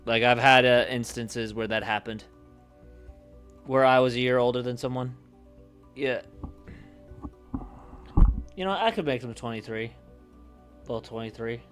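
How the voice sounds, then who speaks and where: A man chats casually on a livestream, speaking slowly and haltingly as he thinks out loud. His voice sits at a middling pitch, over clearly audible music.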